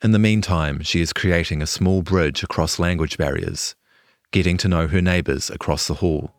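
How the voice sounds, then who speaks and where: A man's voice: low-pitched, normal speed, dry recording. A man reading a scripted longform news feature as a journalist narrator.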